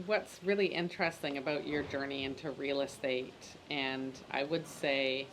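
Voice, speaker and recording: Low-voiced woman interviewer, noisy background. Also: steady tone, moderate pace. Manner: engaged, affirming, uses metaphors. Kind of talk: interview conversation.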